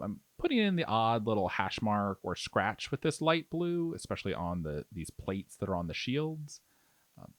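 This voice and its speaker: A man with a fairly low voice talks through a hands-on tutorial. His intonation rises and falls a lot as he explains in an easygoing, reassuring manner. The sound is dry and close, with no room echo. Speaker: miniature painting instructor. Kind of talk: casual tutorial commentary.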